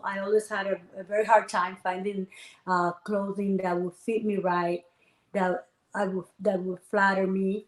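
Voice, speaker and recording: A woman from the Dominican Republic, a guest entrepreneur telling her story in a lively, animated conversational interview. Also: medium pitch. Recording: echoey room.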